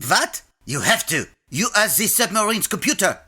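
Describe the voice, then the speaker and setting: An actress playing an imperious, evil submarine captain with a cartoonish German accent, barking orders in an audio comedy. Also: female voice, mid-range voice, big pitch swings, normal speed, sharp tone, husky, exaggerated voice. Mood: triumphant, angry. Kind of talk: comedic audio drama acting. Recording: music bed behind the voice.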